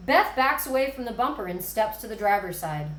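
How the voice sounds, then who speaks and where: A woman's voice: a little high, animated, a little fast, fairly bright tone, slight background noise. A woman reading scene directions as scripted narration at a screenplay table read. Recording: roomy echo.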